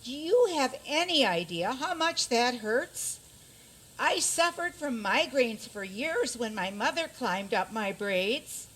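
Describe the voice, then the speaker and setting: A woman's voice: on the high side, lively intonation, slow pace, fairly bright tone, heavily acted, poor audio. A woman playing an aggrieved fairy-tale maiden, pleading dramatically in a comic radio play. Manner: plaintive, dramatic. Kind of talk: comic community radio theater.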